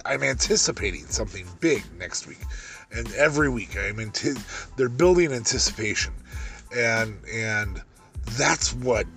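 A man with a deep voice hosting a solo podcast, rambling through a wrestling review with many ums and restarts. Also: a bit bright. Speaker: podcast host.

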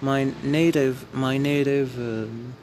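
Man, Amazigh speaker, very slow, halting speech full of restarts, faint hum of air conditioning behind. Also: mid-range voice, fairly even intonation, a little mellow. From Morocco. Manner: hesitant, searching for words, many restarts. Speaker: vlogger. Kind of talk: casual spoken monologue to viewers.

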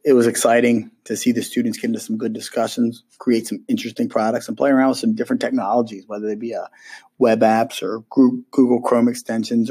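Hoarse, quick-talking male teacher with a cold. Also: mid-range voice, a little mellow. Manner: chatty, with frequent ums and restarts. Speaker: middle school social studies teacher. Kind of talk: weekly podcast update for families.

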